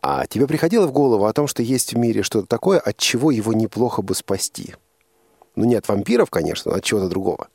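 A man's voice: lowish voice, animated, quick pace, vocal fry, darkish tone, husky, no room sound. A man hosting an informal radio interview, probing by repeating and rephrasing his questions. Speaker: radio host interviewing a young guest.

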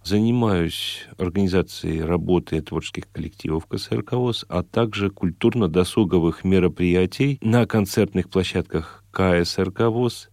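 Male interview guest: deep, soft-edged voice, reflective, formal phrasing. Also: moderate pace, few pauses, no echo, clean studio sound. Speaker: guest, classical singer and cultural organizer. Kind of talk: interview conversation, reflective and explanatory.

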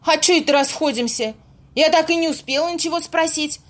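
Woman playing excitable grumbly gal at a seance, radio play, high voice. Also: brisk, close to an everyday voice. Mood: shaken, grumbling. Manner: colloquial, complaining. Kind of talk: amateur audio play acting.

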